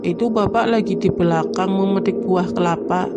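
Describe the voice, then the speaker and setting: Woman as respectful household maid, mid pitch, helpful. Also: fairly even intonation, normal speed, natural voice. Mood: polite. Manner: polite, servile. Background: music.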